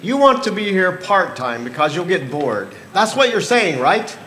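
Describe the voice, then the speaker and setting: A man's voice: high-pitched, very animated, normal speed, fairly bright tone, echoey room. A man playing a stubborn farmer boyfriend, content with his simple life, in a staged reading of a musical. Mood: frustrated. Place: live performance venue, picked up in the room.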